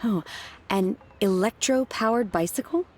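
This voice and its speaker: A woman's voice: medium pitch, unhurried, natural voice. A woman voice actor playing a stoic, earnest young disciple in a video game, polite and matter-of-fact. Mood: earnest, calm. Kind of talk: scripted video game voice acting. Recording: music under the voice.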